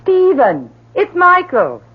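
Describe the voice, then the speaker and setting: A woman's voice: extremely high pitch, huge pitch swings, slow pace, heavily acted. An actress playing an affectionate, fussing middle-aged mother and wife in a 1970s radio drama. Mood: delighted. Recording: noisy, muffled old radio broadcast recording.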